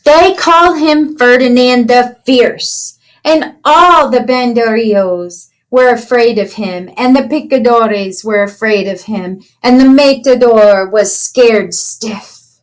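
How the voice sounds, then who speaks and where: Female children's read-aloud teacher: high register, very measured pace, animated delivery, chatty asides to young listeners. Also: poor audio. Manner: animated storytelling, asking children simple questions.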